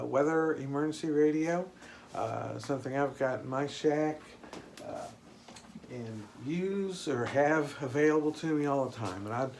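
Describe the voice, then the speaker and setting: Man giving a halting, casual product review. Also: mid-range voice, a little mellow. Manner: hesitant, halting, unscripted delivery. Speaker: product reviewer and ham radio hobbyist.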